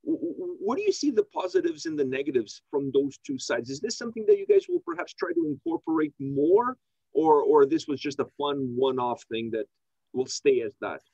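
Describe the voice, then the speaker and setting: A man's voice: fairly high pitch, very animated, fairly quick, gravelly, dark tone, no room sound, lo-fi sound. A man interviewing a musician, asking long, enthusiastic, rambling questions. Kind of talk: casual interview.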